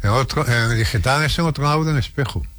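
Male radio co-host, average pitch, informal interview chat, recorded very dry. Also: quick pace, a bit bright, no room sound. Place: radio studio.

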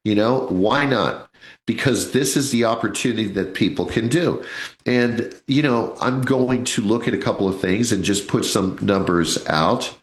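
A man in his sixties with a low voice hosts a live financial radio show. He talks in a chatty, jokey way and keeps turning to his listeners as folks. The studio sound is roomy. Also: normal speed. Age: 60s. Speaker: radio host, financial advisor.

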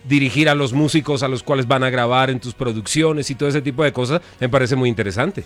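A man's voice: medium pitch, fast, faint music behind. A male interviewer in casual conversation, playful and curious, who laughs easily.

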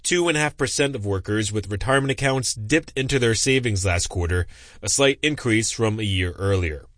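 A man reporting business news in a plain, even delivery. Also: fairly low pitch, a little fast, no room sound, studio quality. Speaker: business correspondent.

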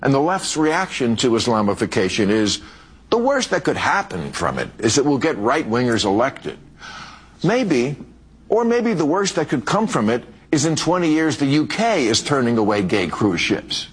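A man's voice: medium pitch, big pitch swings, normal speed, slightly rough. A man delivering a punchy, sarcastic monologue before a live audience, in a played clip. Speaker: comedian-commentator in an archive clip. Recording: clip with audience noise behind.

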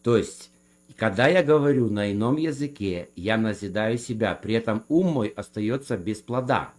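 A man in a theological debate who speaks in a measured way and quotes scripture to make his case. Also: on the low side, animated, darkish tone. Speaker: guest defending speaking in tongues.

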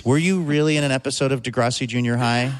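A man's voice: medium pitch, fast. Man, show host, interviewing, friendly, chatty, rambling questions. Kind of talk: interview hosting.

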